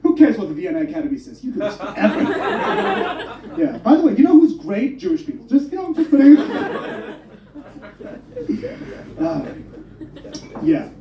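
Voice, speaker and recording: A man's voice: very high-pitched, moderate pace, pauses often, roomy sound, far from the mic, lo-fi sound, a crowd in the background. A man from Germany performing a stand-up comedy set, talking with energy and swearing as he mocks himself. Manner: energetic, swearing, self-mocking. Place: comedy club stage.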